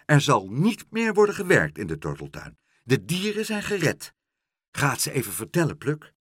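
Male reader as plump lady pigeon, storybook style, eager, friendly, husky, very animated. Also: mid-range voice, normal speed, no echo, clean studio sound, pitched above the performer's own voice. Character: plump female pigeon, friendly bearer of news. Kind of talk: children's storybook character voice.

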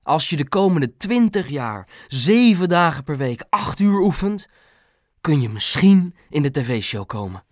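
A man's voice: on the high side, sing-song, unhurried, no echo, studio quality. A man voicing a plain-spoken, henpecked father with an earnest manner in an audiobook. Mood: earnest, warning. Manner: earnest, meek with his wife. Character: plain-spoken, henpecked working-class dad, security guard. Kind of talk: audiobook character voice.

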